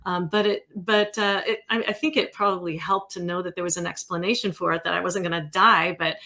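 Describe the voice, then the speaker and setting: A woman's voice: lowish voice, fairly even intonation, a little fast, pushed and bright. American woman in her fifties, casually reminiscing. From California, United States. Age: 50s. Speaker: interviewer, host.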